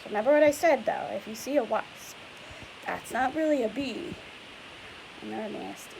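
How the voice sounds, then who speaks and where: A woman's voice: on the high side, expressive, moderate pace, creaky, darkish tone, poor audio. A young woman teacher reading a picture book aloud to children, chatty and explanatory, stopping often to ask questions. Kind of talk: read-aloud lesson for children. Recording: faint hiss.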